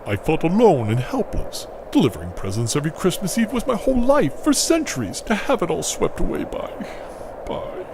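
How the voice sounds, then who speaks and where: An old Santa Claus played by a man in a comic audio play, earnest and aggrieved. His fairly high voice swings wildly with melodrama, over a clearly noisy background. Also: huge pitch swings, fairly quick, heavily acted. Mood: forlorn. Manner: theatrical, earnest.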